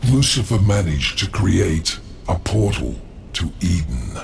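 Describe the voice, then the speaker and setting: Slow, gravelly demon lord. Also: male voice, deep voice, sing-song, a little hiss or hum, exaggerated voice. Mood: ominous. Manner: slow, menacing, formal. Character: sinister, contemptuous deposed demon ruler of hell. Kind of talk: video game cutscene acting.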